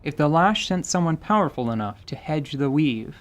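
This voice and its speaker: A man's voice: fairly high pitch, animated, moderate pace. A man reading scripted narration for a fantasy audio drama.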